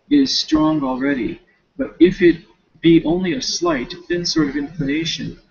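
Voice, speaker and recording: A man with a high, lively voice reads a novel aloud, dropping in casual asides. His delivery is expressive, with the odd stumble, and there is a creaky edge to it. The recording is dull and lo-fi. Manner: expressive, stumbling over words. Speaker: student.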